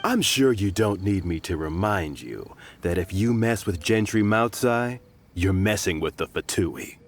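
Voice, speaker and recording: A sneering thug, swinging wildly in pitch as he mocks and threatens with a cackle in a game scene. A faint hiss lies under it. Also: animated, a little slow. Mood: threatening. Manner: mocking, cackling. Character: sneering adult male thug, bullying.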